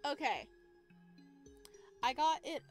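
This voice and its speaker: Female gaming creator, higher-pitched, casual and excitable, reacting and reading aloud over light music. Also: slow. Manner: expressive, excitable. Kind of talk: casual let's play commentary and read-aloud.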